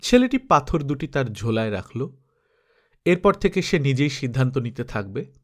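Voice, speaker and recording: Male audiobook narrator, medium pitch. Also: big pitch swings, moderate pace. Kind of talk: scripted literary narration.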